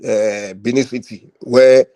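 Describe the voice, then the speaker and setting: A man's voice: medium pitch, steady tone, slow, dark tone. A man from Lagos with a Nigerian accent, hosting a heated panel debate and cutting in often with repeated words.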